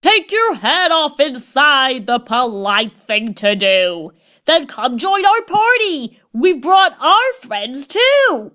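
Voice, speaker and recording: Woman doing a friendly polite cartoon animal, high and bright, slow bouncy melody. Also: big pitch swings, full character voice. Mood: welcoming.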